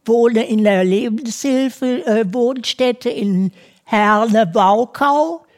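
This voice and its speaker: A woman in her sixties speaks in simple, plain sentences, pausing briefly between them. Her voice sits slightly high and her pace is a little slow. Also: darkish tone. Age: 60s. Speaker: guest, retiree. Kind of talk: interview answers.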